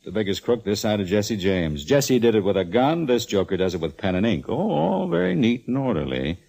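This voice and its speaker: Low, slangy, wisecracking police lieutenant. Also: male voice, sing-song, a little fast, vocal fry, a little mellow, full character voice. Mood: wry, cynical. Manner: slangy, joking, laconic. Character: wisecracking male homicide police lieutenant, easygoing and smitten. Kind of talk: 1940s radio detective acting. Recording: vintage 1940s radio recording.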